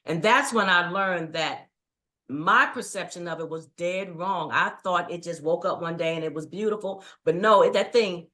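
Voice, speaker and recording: Middle-aged woman, low-ish voice, thoughtful and earnest storytelling. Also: normal speed, bright, forceful tone. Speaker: guest, writing coach. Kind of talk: casual interview chat.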